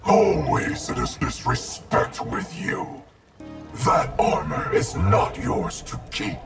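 A cold, imperious ninja villain for a fighting game. He speaks slowly in a gravelly voice that swings widely in pitch. Music plays clearly behind him. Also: huge pitch swings, exaggerated voice. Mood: angry, menacing. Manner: stern, imperious, menacing. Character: cold, domineering corrupt ninja grandmaster. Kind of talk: scripted video game dialogue.

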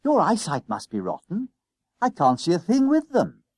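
Guy doing an excitable bossy schoolboy for a storybook, high n a bit husky, plotting away. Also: moderate pace, no room sound, clean studio sound, heavily acted. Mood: brisk, teasing. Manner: urgent whispers and gasps, old-fashioned schoolboy slang. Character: bossy, excitable schoolboy ringleader, eager and conspiratorial.

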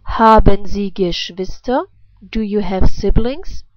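A woman giving a scripted language lesson as a teacher, reading example phrases with careful, deliberate enunciation. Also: mid-range voice, dry recording, dull audio.

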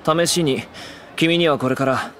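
Lightly high, coolly polite teenage boy. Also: unhurried, a bit bright, slight background noise. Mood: coolly menacing. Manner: breezy, courteous while threatening. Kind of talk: anime audio drama acting.

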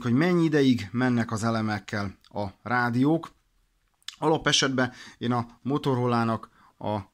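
A man explaining products in an informal instructional way, practical and a little hesitant in his delivery. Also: mid-range voice. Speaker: product demonstrator for a radio shop.

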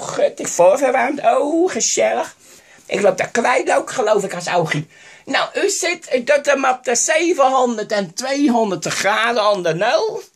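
A woman playing a scatterbrained elderly woman who hosts a microwave cooking show, rambling and forgetful with many sighed exclamations. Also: a little high. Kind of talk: comedic improvised sketch.

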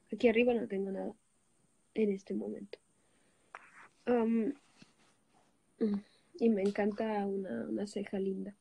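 Young woman, Italian native from Switzerland, phone livestream host, husky low-toned voice with vocal fry. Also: no echo. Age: young adult. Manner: greets viewers, switches languages, relaxed and chatty. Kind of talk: casual livestream chat with viewers. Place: lying on a bed indoors. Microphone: phone held at arm's length.

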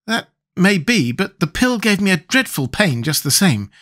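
Man voicing a blunt, high-pitched young boy. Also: sing-song, moderate pace, fairly bright tone. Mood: sulky, annoyed. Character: plucky, impatient young boy, easily sulky.

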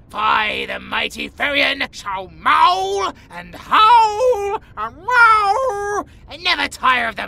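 A man's voice: very high-pitched, vocal fry, sharp tone, full character voice. A British man playing a pompous, melodramatic tiger-boy cat in a comedic audio roleplay, with a grandiose, theatrical manner. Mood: demanding.

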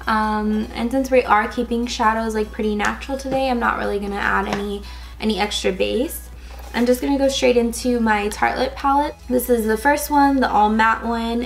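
Raspy young woman, chatty makeup tutorial. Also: a little high. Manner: casual, chatty, many fillers like "um" and "like". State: slightly hoarse from a cold. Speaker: beauty vlogger. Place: indoors, in front of a gold sequin backdrop.

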